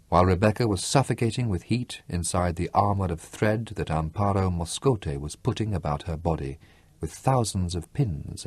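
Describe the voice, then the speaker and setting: A man's voice: deep voice, a little mellow, husky, no room sound. A man narrating a literary story from a script as an audiobook reader.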